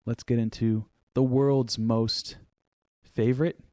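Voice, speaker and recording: A man's voice: medium pitch, level tone, mellow, no echo. A man hosting a music podcast from a home studio, reading a sponsor spot and then chatting playfully with a co-host, joking and stretching words for emphasis. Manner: playful, jokey, drawn-out emphasis. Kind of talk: casual chat with an ad read. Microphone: studio microphone. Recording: dry close studio mic.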